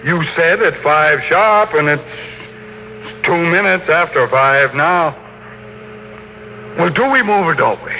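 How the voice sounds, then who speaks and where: Forceful, domineering old-radio tycoon. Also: male voice, a little high, animated, slow, pauses often, heavily acted. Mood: impatient, suspicious. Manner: blustering, commanding, theatrical. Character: ruthless, domineering male tycoon, scornful of sentiment. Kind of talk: 1950s radio drama acting. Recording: noisy vintage radio recording.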